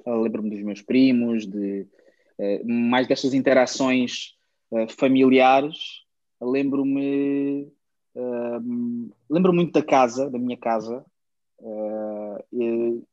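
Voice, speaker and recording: Man, about thirty, from Angola, reflective, halting, mid pitch, slightly muffled sound. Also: fairly even intonation, darkish tone, no echo. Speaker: podcast co-host. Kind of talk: casual chat, personal story.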